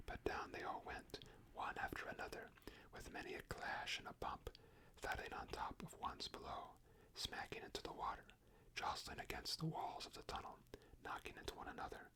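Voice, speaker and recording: A reader whispering a storybook aloud in ASMR style, soft and unhurried, with chatty asides. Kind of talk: whispered ASMR book reading.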